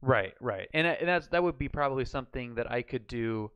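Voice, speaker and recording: A man's voice: mid-range voice, lively intonation, a little fast, smooth, muffled recording. A man chatting casually on a live stream, talking through hypothetical situations as he works them out. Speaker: flight sim streamer learning radio procedures.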